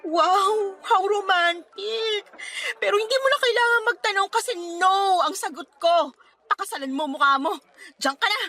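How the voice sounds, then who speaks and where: High, sharp-voiced angry Filipino girlfriend. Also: normal speed, heavily acted. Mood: sarcastic. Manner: confrontational, sarcastic. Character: angry, hurt young girlfriend breaking up with her boyfriend. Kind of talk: radio drama acting.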